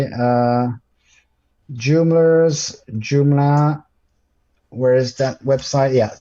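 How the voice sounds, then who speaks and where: Male presenter, French native speaker, mellow tone, stop-start delivery, muffled call audio. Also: mid-range voice. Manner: hesitant, many ums and restarts. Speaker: guest presenter. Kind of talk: live screen-share tutorial.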